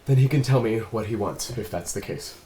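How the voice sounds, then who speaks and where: A man playing an earnest, reserved android detective in a drama read-through, speaking in measured, precise, formal phrases. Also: lowish voice, dark tone, a little hiss or hum, natural voice, poor audio. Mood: guarded, firm. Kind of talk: table read of a drama script.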